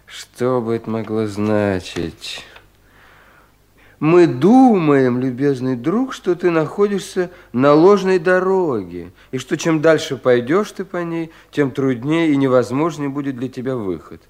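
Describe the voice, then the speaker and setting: An actor playing a troubled, self-doubting young provincial official in a 1970s Russian radio play, in an anxious, theatrical manner. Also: male voice, medium pitch, sing-song, measured pace. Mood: weary, curious, then declamatory reading. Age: young adult. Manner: brooding, theatrical, self-questioning, with sudden swells and hushed drops.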